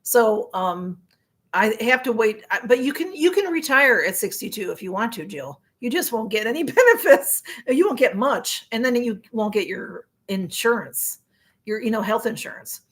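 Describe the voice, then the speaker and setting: A woman's voice: fairly high pitch, big pitch swings, moderate pace, slightly rough. A woman in her sixties chatting casually on a live stream, reading viewer comments aloud, rambling with lots of fillers and frequent laughs. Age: 60s. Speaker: livestream host.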